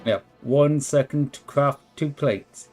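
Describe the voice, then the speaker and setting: A hoarse man drawls through relaxed live game commentary, his voice worn from hours of talking. He thinks aloud at an unhurried pace and chats with viewers, with faint music playing behind him. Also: medium pitch. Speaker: live streamer. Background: faint background music.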